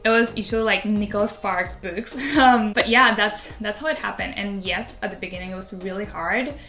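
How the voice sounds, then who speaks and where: A woman in her twenties with a Venezuelan Spanish accent rambles casually to camera, filling her talk with "like". Her voice is bright and clear. The room echoes a little, with faint music underneath. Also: mid-range voice, normal speed. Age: 20s. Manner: chatty, rambling, heavy use of "like". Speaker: vlogger.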